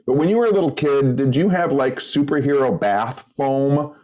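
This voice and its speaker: A man's voice: medium pitch, moderate pace, a little mellow, rough recording. Rambling middle-aged man podcaster. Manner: rambling, nostalgic and hesitant, lots of "like". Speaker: podcast co-host. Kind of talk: casual banter.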